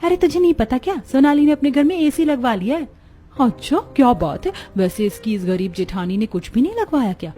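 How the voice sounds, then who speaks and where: A woman voicing a gossipy, easily amazed neighbour in an animated family story. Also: high voice, brisk, soft background music, pitched above the performer's own voice. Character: gossipy, wide-eyed neighbourhood women.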